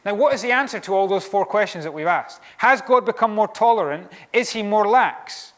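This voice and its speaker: Scottish guy preaching, kinda high voice, chatty and wry. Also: normal speed. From Scotland. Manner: animated, conversational, wry asides. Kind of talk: sermon.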